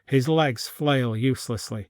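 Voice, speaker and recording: Male storyteller, scripted narration, middle pitch, dry studio-close sound. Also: measured pace, no room sound.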